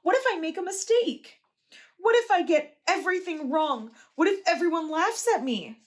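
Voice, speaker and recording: A woman's voice: very high voice, lively intonation, moderate pace, voice pushed up. A woman doing a panicky frightened schoolboy. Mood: scared. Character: frightened schoolboy, nervous and snappy. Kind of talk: children's storybook read-aloud.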